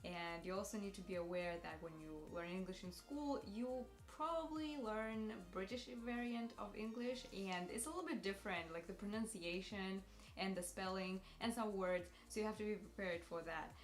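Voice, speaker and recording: A woman's voice: mid-range voice, moderate pace. A young woman from Russia with a Russian accent explains practical tips fluently, in a mid-range voice. The sound is roomy and echoey, with faint music in the background. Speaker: vlog co-host. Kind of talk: casual advice vlog.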